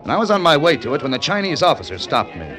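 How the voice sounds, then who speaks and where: An actor playing a wry, world-weary American newspaper correspondent in a 1940s-style radio drama, with a casual hard-boiled manner. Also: male voice, fairly high pitch, very animated, very fast. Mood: sardonic narrating. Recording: old radio recording, music behind.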